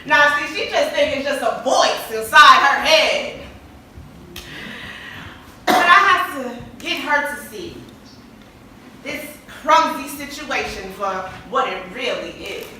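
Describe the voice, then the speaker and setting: A woman's voice: high-pitched, animated, slow pace, long pauses, sharp tone, exaggerated voice. A woman acting a chatty, nosy office receptionist in a stage play, with a sassy, theatrical delivery. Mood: sassy, sarcastic. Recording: echoey stage hall, distant mic, faint noise.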